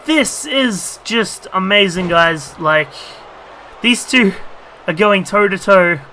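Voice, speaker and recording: A man calling a video game wrestling match in a very bright, fairly high voice, full of "you know" fillers, jumping up in excitement when a big move lands. His voice is a little strained from talking so much, and a faint hiss sits under the recording. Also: slow. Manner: rambling commentary with many fillers, bursts of excitement at big moves. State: losing his voice. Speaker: gaming YouTuber commentating a wrestling game. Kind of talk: excited play-by-play commentary.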